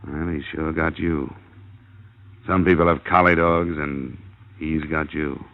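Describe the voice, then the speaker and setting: An American actor playing a tough, cynical insurance investigator in a radio crime drama, with a clipped, blunt delivery. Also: male voice, a bass voice, fairly even intonation, measured pace, creaky, full character voice. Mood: hard, accusing. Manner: clipped, hard-boiled, blunt. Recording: dull vintage radio recording.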